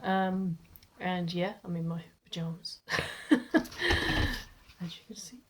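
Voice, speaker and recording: A woman's voice: on the low side, fairly even intonation, slow. A woman reviewing a book in a casual chat to camera at home, speaking with a stuffy nose from a cold, rambling and restarting her words with frequent laughs.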